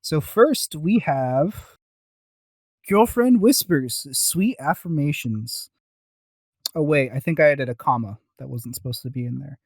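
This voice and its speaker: A man's voice: on the high side, animated, a little slow, darkish tone, dry recording. A man co-hosting a casual review podcast, talking in a rambling, self-deprecating way. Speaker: podcast co-host.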